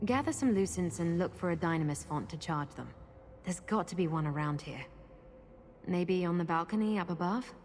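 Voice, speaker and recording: A woman's voice: low-pitched, slight background noise, close to an everyday voice. A woman voicing a practical, thoughtful adventuring companion in a video game, explaining things conversationally. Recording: loud music behind.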